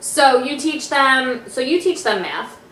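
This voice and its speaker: A woman's voice: sits high, animated, measured pace, pushed and bright, roomy sound, slight background noise, low-quality recording. A woman in her twenties hosting a casual podcast from her family's living room, teasing her guest with lots of fillers. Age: 20s. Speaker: podcast host. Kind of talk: casual chat. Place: home living room by an electric fireplace.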